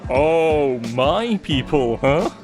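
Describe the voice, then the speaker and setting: Higher-pitched dry-humoured male officer, acted. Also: animated, very slow. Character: dry, good-humoured police officer, teased for being short. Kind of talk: casual banter in audio drama. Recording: music behind the voice.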